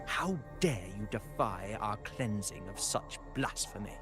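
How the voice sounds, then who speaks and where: A man's voice: lowish voice, lively intonation, unhurried. A man voicing a haughty, menacing enforcer of a religious order in a video game, speaking in a pompous, formal and sanctimonious way. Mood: stern, condescending. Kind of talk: scripted game voice acting. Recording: background music.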